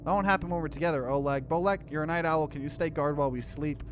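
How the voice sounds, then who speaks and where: Man as firm, steady female team leader. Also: on the high side, quick pace, noisy background, natural voice. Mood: reassuring.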